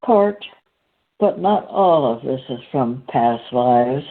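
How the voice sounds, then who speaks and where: A host reading aloud in a halting, deliberate manner, pausing between short phrases. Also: medium pitch, animated. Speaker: host and reader. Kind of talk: slow read-aloud and discussion. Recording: phone-like, narrow-band call audio.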